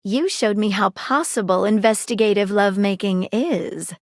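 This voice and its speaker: A woman's voice: mid-range voice, normal speed, dry recording, clean studio sound, close to an everyday voice. A woman voicing a nosy, flirtatious female magnifying glass detective in a video game, with a playful manner. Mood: flirty. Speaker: dateable game character. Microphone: close studio mic.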